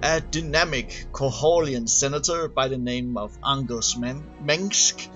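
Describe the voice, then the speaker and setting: A man reading a scripted narration aloud in a halting way, often stumbling over and repeating words. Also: medium pitch, fairly bright tone. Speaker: amateur reader of game lore. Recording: dull lo-fi home recording, music behind. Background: background music.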